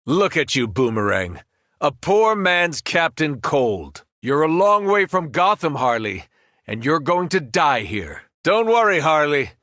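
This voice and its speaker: Arrogant villainous superhero, bright forceful voice. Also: male voice, a little high, very animated, unhurried, no room sound, clean studio sound, heavily acted. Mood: mocking menace. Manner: taunting, menacing, condescending. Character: villainous brainwashed superhero, powerful, arrogant, cruel. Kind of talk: video game villain voice lines.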